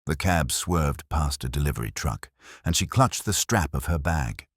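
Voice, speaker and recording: A very deep, husky male actor's voice as a sardonic hardboiled detective's sidekick, telling a mystery audiobook. The delivery is dry and wisecracking, recorded close and dry. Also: lively intonation, normal speed, dry recording, exaggerated voice. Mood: wry, probing. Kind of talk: first-person detective audiobook narration.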